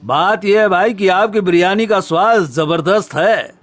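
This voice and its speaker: A man's voice: sits high, fairly bright tone, soft background music. A man voicing a smug, scheming blackmailing neighbour in a cartoon story, with a taunting, theatrical manner. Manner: taunting, drawn-out, theatrical menace. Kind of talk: Hindi cartoon story dubbing.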